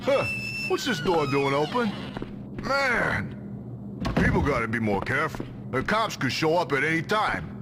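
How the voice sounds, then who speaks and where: A man playing a jumpy, blustering street criminal with excitable, slangy speech in a game cutscene. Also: a little high, a little slow, pauses often, noisy background. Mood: nervous bluster. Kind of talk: video game cutscene acting. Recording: loud music behind the voice.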